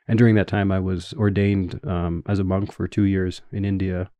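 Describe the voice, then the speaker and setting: Canadian man, 30s, academic guest; low, mellow voice, measured and explanatory, dry close mic. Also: level tone, no room sound. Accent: Canadian English. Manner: measured, explanatory, with frequent fillers. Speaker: guest scholar. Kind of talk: academic interview answers.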